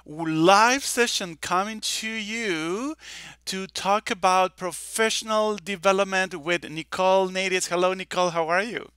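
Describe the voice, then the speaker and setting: A man's voice: high-pitched, steady tone, measured pace, bright, forceful tone, clear, resonant tone, no echo, clean studio sound. A man hosting a live online summit session, talking enthusiastically and rambling with restarts over a video call. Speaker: summit host.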